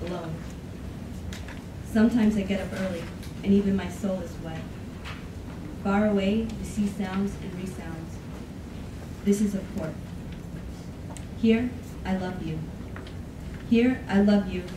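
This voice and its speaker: A woman reads a poem aloud at a podium in a classroom, measured and expressive. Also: mid-range voice. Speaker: contestant reading a poem. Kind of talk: dramatic poetry reading. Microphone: podium mic, from a far camera. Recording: roomy room, far-off camera, noise.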